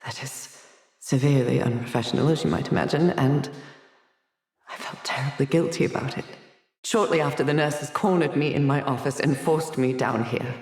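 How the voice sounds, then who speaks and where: A woman's voice: normal speed, darkish tone, reverberant, far from the mic. An actress playing an unhinged, haughty woman doctor locked away in an asylum, theatrical and erudite in a video game. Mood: haunted, confiding. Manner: theatrical, erudite, haughty, swinging from whispers to shrill outbursts. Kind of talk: dramatic video game character acting.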